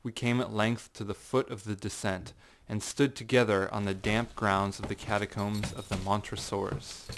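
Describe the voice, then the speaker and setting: Low-voiced man, measured dramatic narrator. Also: level tone. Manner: measured, deliberate, theatrical phrasing. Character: coolly vengeful, outwardly courteous gentleman telling his tale. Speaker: audiobook narrator. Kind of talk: dramatic scripted narration of gothic story.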